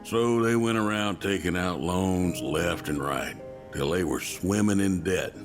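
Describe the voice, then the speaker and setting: An actor playing a folksy, plainspoken middle-aged cowboy with a Southern American drawl in a video game. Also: male voice, sits low, a little slow, music in the background. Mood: grave, storytelling. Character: folksy, plainspoken older male cowboy racer. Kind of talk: dramatic video game dialogue.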